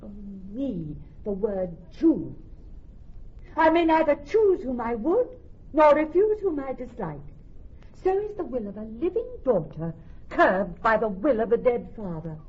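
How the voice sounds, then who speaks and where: Muffled radio drama. witty heiress, theatrical, breathy-husky voice with animated swings. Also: female voice, on the high side, unhurried, exaggerated voice. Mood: frustrated. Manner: articulate, theatrical, wry. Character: witty young heiress, wry and impatient of suitors. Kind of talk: classical Shakespearean radio drama acting. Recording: dull, muffled radio recording.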